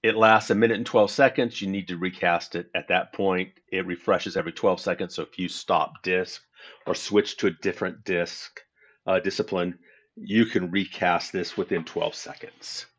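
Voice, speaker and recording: A man talking through his game setup in a relaxed walkthrough, explaining step by step and correcting himself as he goes. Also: sits low. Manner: unhurried and explanatory, with frequent self-corrections. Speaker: gaming tutorial host. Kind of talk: casual screen-recorded game walkthrough.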